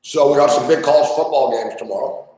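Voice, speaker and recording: A man hosting a sports betting show, chatting casually and loudly with plenty of swearing while he eats on air. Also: mid-range voice, quick pace. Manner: loud, profane, rambling banter. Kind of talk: casual live-stream chat while eating. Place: small studio. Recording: echoey room.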